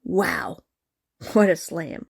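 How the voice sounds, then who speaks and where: A woman's voice: on the low side, very slow, vocal fry, husky, dry recording, studio quality, full character voice. An actress in a comic radio play playing a smug, scheming young woman with a sardonic, haughty, taunting manner.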